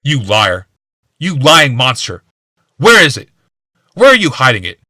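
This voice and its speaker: Audiobook role: sickly, embittered male inventor acted by a man, suspicious, explosive, sharp-edged delivery, dry close sound. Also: medium pitch, huge pitch swings, measured pace, no echo, voice pushed up, heavily acted. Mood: accusing, furious. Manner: shouting, hissing, accusing outbursts. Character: bitter, paranoid, sickly male inventor in a wheeled chair.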